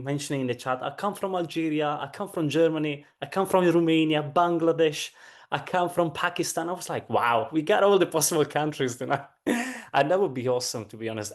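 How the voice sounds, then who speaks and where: Male co-host for an online event, average pitch, conversational introduction of a guest. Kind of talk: informal spoken introduction.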